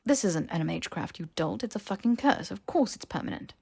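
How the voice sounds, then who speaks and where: A woman acts a young highborn male wizard for a fan-fiction audiobook. He is sneering and testy, drawling his sarcasm with dramatic scorn. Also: lively intonation, brisk, vocal fry, a little mellow, husky. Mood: scornful.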